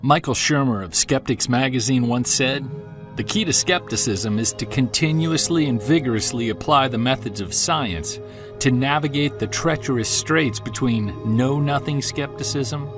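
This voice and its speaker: Male actor as driven middle-aged investigator, earnest, intent, medium pitch, audio drama, music behind. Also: normal speed, close to an everyday voice. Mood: reflective, intent. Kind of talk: audio drama narration and dialogue.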